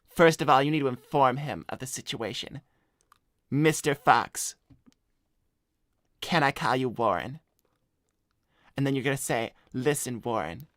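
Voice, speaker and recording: A laid-back scumbag hedgehog played by a man with a mid-pitched voice. He talks in slangy, deadpan, self-assured livestream chat. The recording is close and dry. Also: measured pace, pauses often, dry recording, lightly acted. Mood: casual, sympathetic. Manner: slangy, swearing, deadpan bragging. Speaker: cartoon character co-hosting an advice livestream. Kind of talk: improvised in-character chat, reading donor messages.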